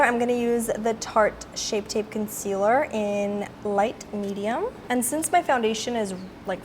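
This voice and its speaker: Woman, a little raspy from a cold, casual beauty-tutorial chatter, medium pitch, noticeable hiss behind her. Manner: chatty, filler-heavy, explaining each step. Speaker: beauty vlogger. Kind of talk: casual makeup tutorial narration.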